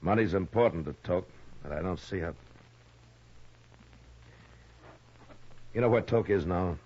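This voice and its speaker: A man's voice: low voice, brisk, a little mellow. An actor playing a weary, stern western marshal in a 1950s radio drama, grave and laconic in his delivery. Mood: sober, weary. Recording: muffled, noisy 1950s radio recording.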